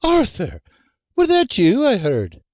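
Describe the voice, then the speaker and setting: A narrator voicing a bossy young farm wife who fusses and scolds with a sharp tongue. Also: female voice, a little high, wildly swooping pitch, takes it slowly, dry recording, voice pushed up, full character voice. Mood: fussing.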